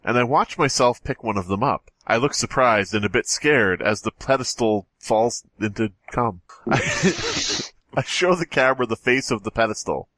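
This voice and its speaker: A man's voice: mid-range voice, a bit bright, no echo. A man co-hosting a podcast, reading a story aloud casually with mocking, playful riffs. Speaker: podcast co-host.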